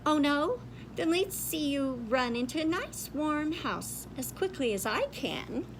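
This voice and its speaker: A woman's voice: high-pitched, lively intonation, a little slow, noisy background, voice pushed up. A woman voicing a smug cat that meows and sneers at a tortoise. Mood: sneering. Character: smug meowing cat in a tree.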